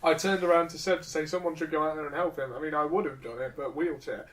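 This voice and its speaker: A man's voice: fairly high pitch, steady tone, fast talker. A young man with a British accent, chatting casually as a podcast co-host in a rambling, chuckly way. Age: young adult. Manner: rambling anecdotes, frequent chuckling. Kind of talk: casual comedic chat.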